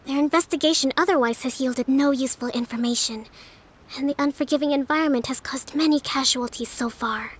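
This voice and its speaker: A woman playing a composed, earnest young island leader in a video game, speaking in a formal, measured manner. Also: high voice, husky. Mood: grave, earnest.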